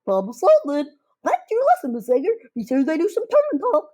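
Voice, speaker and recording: A woman's voice: very high voice, wildly swooping pitch, brisk, dark tone, full character voice. A young girl of about twelve playing an imperious, melodramatic school principal in a comedic radio drama, with a grandiose delivery. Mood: brisk. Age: child, about 12 to 13.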